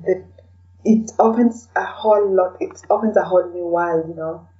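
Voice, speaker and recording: A woman's voice: lowish voice, normal speed, mellow. Woman in casual interview, dull distant recording. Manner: chatty, hesitant, frequently adds "you know". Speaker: podcast guest, blogger. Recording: dull, distant, faint background noise.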